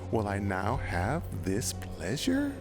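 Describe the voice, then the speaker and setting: A man voicing a very old woman who doubts to herself, speaking slowly and wryly, with music behind. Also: on the low side, huge pitch swings, exaggerated voice.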